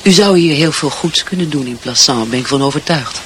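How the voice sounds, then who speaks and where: A man's voice: fairly high pitch, brisk, a bit bright, slight background noise, lightly acted. An actor in a radio drama playing an earnest, dutiful wife and mother, hesitant, searching and sincere. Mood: earnest, urging.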